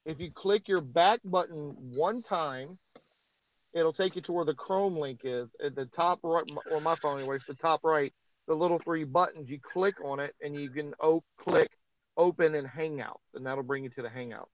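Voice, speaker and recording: A man's voice: a little high, moderate pace, no room sound, lo-fi sound. A man chatting casually on a live stream, explaining things patiently step by step. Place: Texas.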